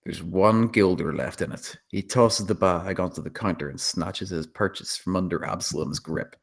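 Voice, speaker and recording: Low-voiced man narrating a screenplay. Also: normal speed, creaky. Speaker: narrator reading stage directions. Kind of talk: dramatic scripted narration.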